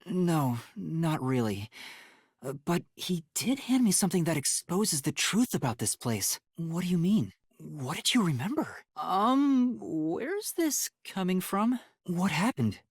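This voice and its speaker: A soft, breathy boyish video game detective, earnest and timid, with a polite, hesitant way of speaking. His pitch swings widely from line to line. The recording is very dry and close. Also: very animated, unhurried, no echo, heavily acted. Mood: concerned, inquisitive. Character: earnest, timid young male detective trainee. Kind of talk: English video game voice acting.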